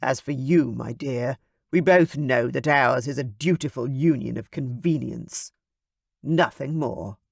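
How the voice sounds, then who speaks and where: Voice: medium pitch, a little slow, vocal fry, dry recording, studio quality. A narrator voicing a duke who speaks coldly and dismissively to his wife. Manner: cold and dismissive. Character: cold, dismissive aristocratic man, a duke. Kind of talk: audiobook character voice.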